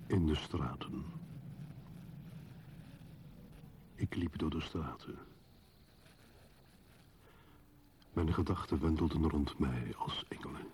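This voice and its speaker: An actor playing a brooding, solitary man in a poetic radio drama monologue, solemn and often breathy. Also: rumbling low, background noise. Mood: brooding, desolate. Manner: solemn, measured, often breathy near-whisper. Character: brooding solitary man recalling a dead city.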